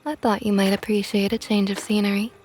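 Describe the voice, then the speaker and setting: A woman voicing a possessive, flirtatious female vampire knight in an ASMR roleplay, talking softly and often in a whisper with a teasing tone. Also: medium pitch, level tone, brisk, soft background music, close to an everyday voice. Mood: tender teasing.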